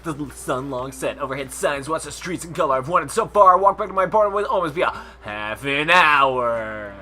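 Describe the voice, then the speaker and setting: A man's voice: on the high side, animated, brisk, pushed and bright, slight background noise. A young man hosting a gaming channel, reading game text aloud and breaking off into jokey casual chat.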